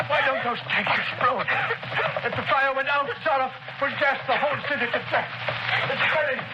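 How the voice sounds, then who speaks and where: An actor in a 1930s radio drama playing a high-strung scientist and protective father, urgent and frantic. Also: normal speed, highs cut off. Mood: desperate urgent. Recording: noisy, echoey old 1930s radio broadcast.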